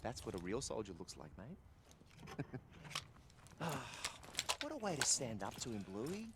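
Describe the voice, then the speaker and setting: A man's voice: medium pitch, wildly swooping pitch, measured pace, heavy background noise. An actor playing a mouthy, sarcastic, coarse young male private in a video game cutscene. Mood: teasing, exasperated.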